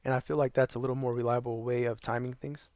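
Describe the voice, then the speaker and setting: Hoarse, tired young man with a gravelly voice. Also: flat delivery, fast, darkish tone, no echo. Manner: loose and rambling with many ums and uhs; tired, low energy. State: hoarse, losing his voice. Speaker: gaming channel host. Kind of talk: casual tutorial narration over gameplay.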